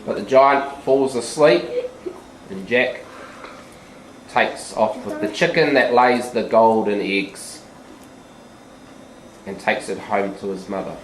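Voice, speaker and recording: A teacher retelling a fairy tale simply and deliberately for young children, with asides to them, in a classroom. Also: mid-range voice. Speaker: teacher narrating a children's puppet show. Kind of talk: live storytelling with asides to the children. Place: school classroom. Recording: echoey room, background noise.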